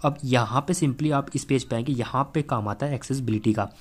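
A man presents a tech tutorial. He talks at a fast clip in a mid-pitched voice. His delivery is conversational and explanatory, going step by step and often checking that the listener follows. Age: 20s. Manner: conversational, explains step by step with frequent tag phrases. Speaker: host of a tech tips channel. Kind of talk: explanatory tech vlog to camera and screen tutorial. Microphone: clip-on lapel mic.

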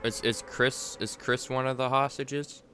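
A young man streaming a game from his room, chatting excitedly and repeating himself. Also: mid-range voice, a little slow, slight background noise. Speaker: gaming streamer. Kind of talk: casual gameplay chat. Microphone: desk mic, wearing headset.

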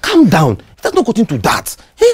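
A performer playing a scheming, two-faced caretaker with a Nigerian accent, who stammers and coaxes to calm people down. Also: mid-range voice, brisk, breathy edge, heavily acted. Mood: pleading. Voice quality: wheedling, stammering, pleading.

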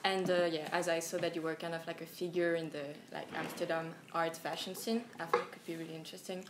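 A woman's voice: deep voice, flat delivery, quick pace. A woman hosting a talk, introducing her guest hesitantly with many fillers. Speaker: host, interviewer. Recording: echoey room with clear background noise.

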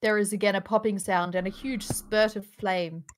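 A woman's voice: mid-range voice, fairly even intonation, moderate pace, a little hiss or hum. Woman reading out screenplay directions. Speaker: narrator of stage directions in a table read. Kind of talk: live script read-aloud. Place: online video call.

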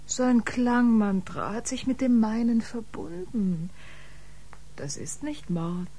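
An actress playing an unhinged, jealous female killer in a science-fiction radio drama, theatrical and halting, lapsing into whispers. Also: a little high, animated, mellow, heavily acted. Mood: mocking. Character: unhinged, jealous woman bio-musician, confessed killer.

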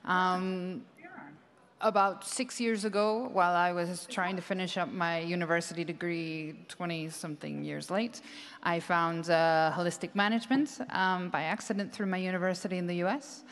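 A woman's voice: lowish voice, fairly even intonation, normal speed. American woman on a reverberant conference stage. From United States. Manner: earnest, many fillers and restarts. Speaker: panel speaker, farmer trainer. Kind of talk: conversational panel talk. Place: large conference stage with audience. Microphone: handheld microphone. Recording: long hall reverb.

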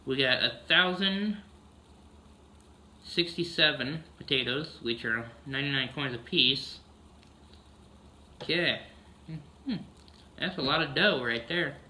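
A man's voice: on the high side, slow pace, long pauses, bright, forceful tone, a little hiss or hum. A man casually narrating a farming video game, humming "mm-hmm" between steps, recorded on a camera across a room. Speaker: gameplay narrator.

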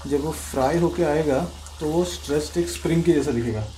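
A man demonstrating a home recipe step by step, relaxed and explaining each move plainly. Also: fairly high pitch, fairly quick. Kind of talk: casual step-by-step cooking demo. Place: home kitchen, indoors. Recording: echoey kitchen with faint noise.

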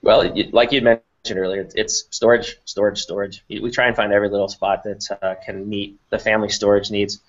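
A man's voice: fairly low pitch, flat delivery, a little fast, hardly any pauses. A middle-aged man explaining things as an interview guest on a video call from an office. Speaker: company president, interview guest. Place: small office room, indoors. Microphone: computer mic on a video call. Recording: dull, lo-fi video call audio.

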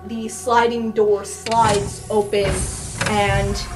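A woman's voice: medium pitch, little pitch movement, extremely slow, over music. A woman running a tabletop roleplaying game as game master, narrating scenes on the fly and addressing players.

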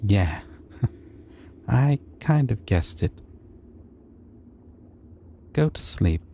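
A man voicing a shy, sweet, gentle dragon in an ASMR roleplay, speaking in a hesitant, tender and soothing way. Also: medium pitch, sing-song, very slow, vocal fry, slight background noise, heavily acted. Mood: sleepy, tender. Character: shy, sweet, gentle young male dragon, eager to please.

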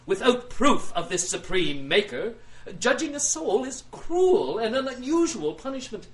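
Man playing pompous high-voiced plaintiff, swooping melodrama. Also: very animated, measured pace, full character voice. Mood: grandiose, pleading. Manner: theatrical, grandiloquent, melodramatic. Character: pompous, aggrieved dead industrialist pleading his own case. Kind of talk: audio drama acting.

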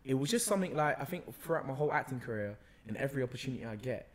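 A young man with a London accent and a mid-range voice. He talks casually into a close podcast mic, telling stories in slangy, expressive phrases. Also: mid-range voice, racing. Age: young adult. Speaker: actor, podcast guest. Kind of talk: casual conversational storytelling. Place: bright indoor room, seated on a sofa. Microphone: podcast microphone on a boom arm, close.

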